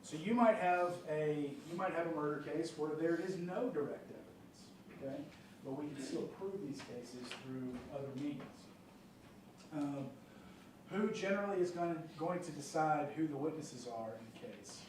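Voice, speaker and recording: A man's voice: on the high side, moderate pace. Man addressing jury panel, conversational, lots of fillers; big echoey courtroom, mic far off. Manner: conversational, many fillers and check-ins like "okay?". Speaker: prosecutor questioning jury panel. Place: large courtroom. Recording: echoey courtroom picked up from far off, light noise.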